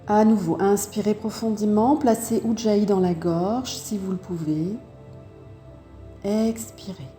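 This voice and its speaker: A woman yoga teacher with a very soft, dark-toned voice leads a practice slowly and calmly. She gives measured instructions for breathing and posture, leaving pauses between them. The room adds noticeable echo, and faint music plays behind her. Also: mid-range voice. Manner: calm, measured step-by-step guidance with pauses.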